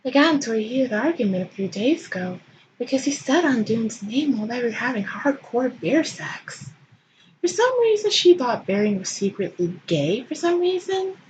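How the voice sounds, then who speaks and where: A woman's voice: a little high, dull audio, a little hiss or hum. A woman giving a comic dramatic reading of fan fiction as host, in a theatrical, irreverent manner.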